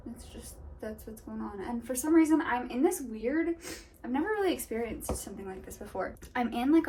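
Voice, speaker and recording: A woman's voice: fairly high pitch, a little hiss or hum. A young woman with a cold and a congested, nasal voice, chatting casually in a rambling reading update full of fillers. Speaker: book vlogger.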